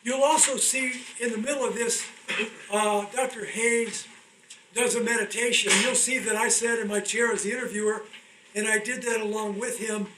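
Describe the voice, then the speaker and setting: A man's voice: sits high, steady tone, moderate pace, distant mic, noisy background. A man hosting and teaching on self-help, speaking earnestly and repeating phrases for emphasis. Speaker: host, therapist teaching a class and interviewing.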